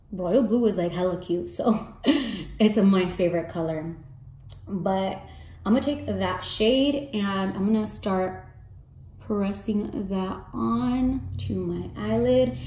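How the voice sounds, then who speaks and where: A young woman in her twenties, with a slightly raspy voice that is deep for a woman, chatting casually and candidly to her viewers while doing her makeup in a room at home. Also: darkish tone, echoey room. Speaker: makeup artist and beauty vlogger. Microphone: camera in front, face framed close.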